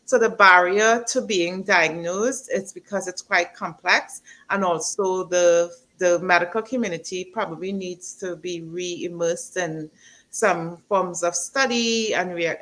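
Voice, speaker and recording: A woman from Trinidad, a doctor, answering health questions in an interview with a measured, explanatory manner. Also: on the low side, a bit bright, rough recording. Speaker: doctor guest.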